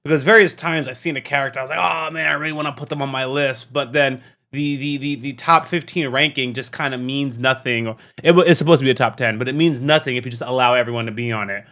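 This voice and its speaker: A man hosting a casual, unscripted reaction video, talking in an animated, slangy way and often repeating himself. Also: mid-range voice, fast talker, few pauses. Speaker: YouTube reaction host.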